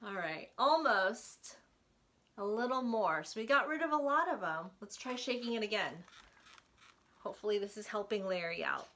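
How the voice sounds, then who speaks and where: A woman's voice: mid-range voice, expressive, normal speed, a bit bright. A woman librarian reading a picture book aloud to young children, speaking to them directly with questions and invitations to join in.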